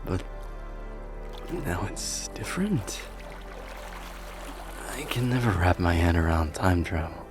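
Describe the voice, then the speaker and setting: A man's voice: sits low, lively intonation, slow, long pauses, music in the background. A man playing a courteous, reflective warrior king in an ASMR audio roleplay, speaking formally and politely with some hesitation. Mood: pensive, uneasy.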